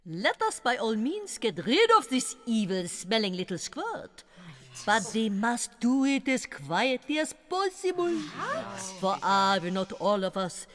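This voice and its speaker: A woman's voice: on the high side, very animated, a little slow. An actress playing an imperious, gleefully wicked witch leader in a dramatized audiobook, commanding and gloating, swinging from hiss to shriek. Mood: gloating, instructing. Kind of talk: fully dramatized audiobook acting. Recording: music behind the voice. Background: music.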